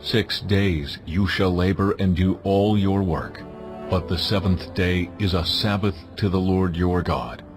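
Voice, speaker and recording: Majestic male deity, very low voice, stern, solemn, authoritative commands, scripture drama, music clearly behind. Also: steady tone. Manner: solemn, authoritative, deliberate phrasing.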